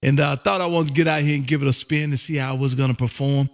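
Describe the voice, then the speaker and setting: An exuberant, folksy American man with a gravelly voice, chatting casually on air as a ham radio host and repeating his catchphrases. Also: medium pitch, steady tone, quick pace. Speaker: amateur radio operator and livestream host.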